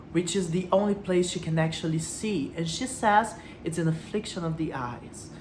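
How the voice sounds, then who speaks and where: A woman giving literary commentary as a video host, speaking reflectively and posing rhetorical questions to her listeners. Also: fairly low pitch. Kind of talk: literary commentary with read-out quotes. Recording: roomy echo, faint background noise.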